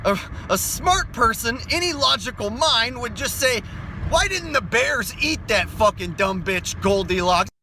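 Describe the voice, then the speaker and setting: A man on a casual, profane rant, incredulous and halting, recorded on a handheld phone while driving. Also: very high voice, animated, pushed and bright. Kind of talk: casual sweary rant to camera. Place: inside a car while driving. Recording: noisy phone recording in a car.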